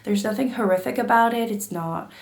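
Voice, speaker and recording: A woman book vlogger getting over a cold, her throat gravelly, chatting casually to camera with lots of fillers and restarts. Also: on the high side, brisk, reverberant. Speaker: book YouTuber.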